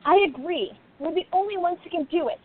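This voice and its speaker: A woman plays a young princess detective in a radio drama. She is earnest, determined and insistent, with a very high-pitched voice on thin, phone-like audio. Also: fairly quick. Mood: resolute. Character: determined young princess turned amateur sleuth. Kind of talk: radio play acting.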